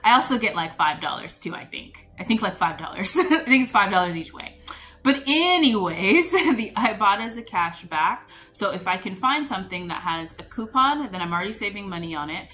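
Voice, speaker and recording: A woman's voice: medium pitch, sing-song, fairly quick, bright, forceful tone. A woman in her thirties chatting to camera about stocking her pantry, explaining with her hands and laughing between points. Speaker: homestead vlogger. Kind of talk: casual chat to camera. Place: home room, later a grocery store and kitchen counter.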